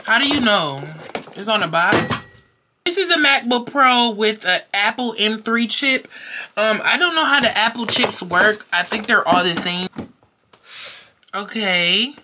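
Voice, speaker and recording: Excitable teen girl vlogger, noisy. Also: mid-range voice, measured pace. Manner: excitable, bursts into squeals and slangy exclamations. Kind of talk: casual vlog chat to camera. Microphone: handheld camera close to face.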